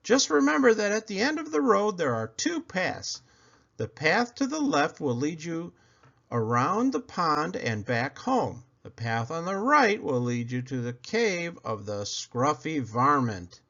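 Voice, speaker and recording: A man acts a storybook father rabbit who keeps insisting on being heard. The delivery is emphatic and forceful, pitched up with wide swings. The sound is a bit muffled. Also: very animated, a little slow, creaky, full character voice. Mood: warning. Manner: emphatic, instructing. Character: insistent father rabbit asking and warning his son.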